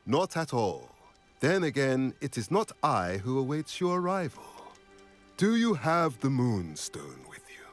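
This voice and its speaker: An actor playing a wise, solemn centaur seer in a video game, speaking in a formal, measured, oracular way. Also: male voice, mid-range voice, huge pitch swings, faint music behind. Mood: calm, formal. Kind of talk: dramatic video game cutscene acting.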